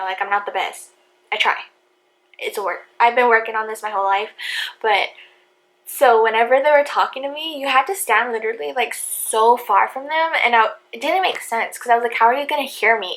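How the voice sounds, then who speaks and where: A quiet, soft-spoken young woman chatting to her camera about her past. Her voice sits a little high, and she talks in a loose, rambling way, stacking up fillers. She laughs lightly between phrases. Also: low-quality recording. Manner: filler-heavy, lots of "like" and "you know". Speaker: vlogger. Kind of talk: casual storytelling to camera.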